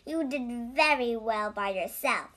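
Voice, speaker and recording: Woman playing a bright-spirited, high-voiced frog. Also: unhurried. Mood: encouraging. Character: cheerful, reassuring, bossy male frog best friend. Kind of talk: children's storybook reading.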